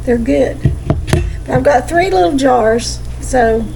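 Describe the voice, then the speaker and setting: Middle-aged woman, Southern American, home cooking host, chatty, high register, noisy kitchen recording. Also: slow, vocal fry. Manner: folksy, chatty. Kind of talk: casual cooking demonstration chat.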